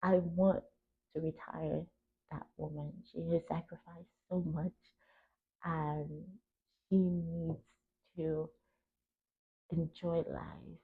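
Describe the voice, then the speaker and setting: A young adult woman from Cameroon talks reflectively and earnestly as a podcast host, stressing her points and pausing between short phrases. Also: low voice, level tone, creaky, husky. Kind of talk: reflective personal talk. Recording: tinny phone-like narrow band.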